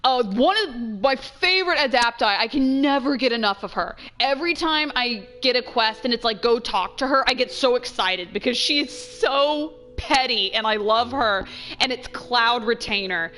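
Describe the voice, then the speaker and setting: A woman's voice: a little high, moderate pace, pushed and bright, a little hiss or hum. A young woman streaming a game, chatting casually and explaining things in a rambling, enthusiastic way. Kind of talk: casual chat, let's play commentary.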